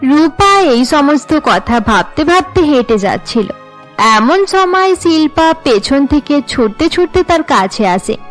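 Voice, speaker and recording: A woman narrates a cartoon story from a script. Her voice sits high, and faint music plays behind it. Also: very animated, measured pace.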